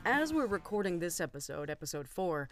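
A woman with a low voice co-hosting a podcast, chatting casually and joking about herself. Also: sing-song, a little fast, over music. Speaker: podcast co-host.

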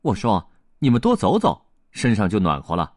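A man's voice: lowish voice, wildly swooping pitch, moderate pace, a little mellow, no echo, clean studio sound. A man voicing a cheerful, playful male patchwork elephant in a children's storybook reading, coaxing and teasing his friends. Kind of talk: storybook character voice.

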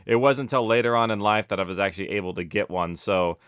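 Male interview guest, low smooth voice, dry close sound, casual rambling delivery with self-corrections. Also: quick pace, no echo. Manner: animated rambling, self-correcting, peppered with "like" and "you know". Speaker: interview guest, audio drama producer.

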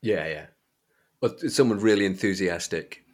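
A man hosting a podcast, talking casually about books in a hesitant, halting way. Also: deep voice. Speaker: podcast host. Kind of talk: casual book discussion chat.

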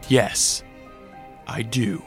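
A man playing a brooding, principled antihero with a thirst for blood in a radio drama, solemn and deliberate. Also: medium pitch, soft background music. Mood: solemn defiance.

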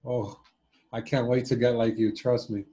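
A man in his twenties from Cuba and Miami, chatting casually and thoughtfully as an interview guest, pausing as he searches for words. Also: mid-range voice, level tone, dark tone, slightly rough. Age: 20s. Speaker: interview guest, former basketball player. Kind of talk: casual reflective interview chat.

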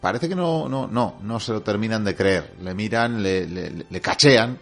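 Low-voiced radio host. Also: male voice, lively intonation, a little slow, gravelly, a bit bright. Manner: wry, playful banter. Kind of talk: radio show hosting and mock narration.

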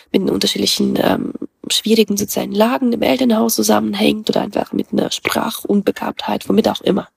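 A middle-aged woman whose native language is Russian, a guest in a casual conversation, thinking aloud with many pauses and fillers. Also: medium pitch, gravelly, breathy edge, no echo. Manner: thoughtful, many hesitations and fillers.

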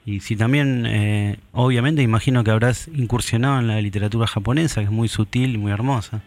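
A man with a Buenos Aires accent hosting a radio interview, friendly and encouraging with quick affirmations. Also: fairly low pitch, darkish tone. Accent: Buenos Aires Spanish. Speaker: radio host.

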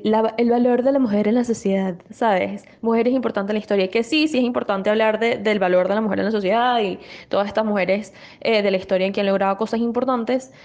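Earnest young woman. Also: mid-range voice. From Venezuela. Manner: earnest, animated, quick restarts. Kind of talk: casual discussion.

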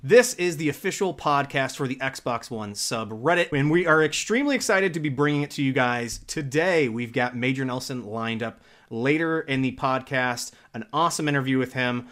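A man's voice: mid-range voice, normal speed, hardly any pauses, a bit bright. A man hosting a casual gaming podcast, upbeat and enthusiastic, a dad in his thirties. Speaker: podcast host.

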